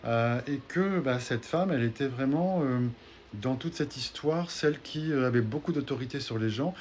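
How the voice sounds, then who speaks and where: A man's voice: medium pitch, moderate pace, darkish tone, a little hiss or hum. A man who does soul healing, telling one session's story to his online followers in a hesitant, casual way with many fillers and restarts. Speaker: soul healer sharing a session. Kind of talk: casual spoken testimony.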